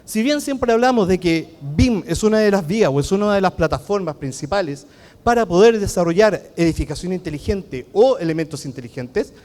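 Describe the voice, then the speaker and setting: A Chilean man with a Chilean Spanish accent giving a conference talk as a technology consultant, talking fast and checking in with the audience often. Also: high voice, animated, darkish tone. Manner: fast-talking, engages the audience with frequent "¿ok?" tags. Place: large conference hall. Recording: long reverb of a large hall.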